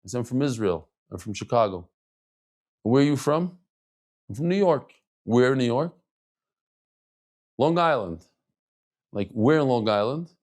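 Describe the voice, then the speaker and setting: Animated man teaching Talmud. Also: mid-range voice, measured pace, pauses often. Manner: animated, chatty, mixes in Hebrew and Yiddish terms. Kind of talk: casual daily Talmud class.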